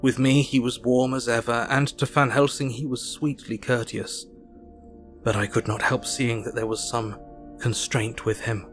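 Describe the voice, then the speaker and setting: A man's voice: medium pitch, little pitch movement, faint music behind, lightly acted. Man acting a sombre doctor. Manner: measured, literate, melancholy. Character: sombre, reflective male Victorian doctor narrating his diary. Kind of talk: dramatised diary narration.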